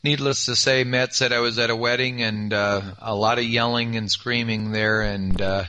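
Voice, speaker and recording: A man with a raspy, worn voice going through market scenarios, pausing often with filler sounds. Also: medium pitch, fairly even intonation, sharp tone, slight background noise. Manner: halting, many filler uhs. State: raspy voice after yelling. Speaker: market analyst co-host. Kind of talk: market analysis talk.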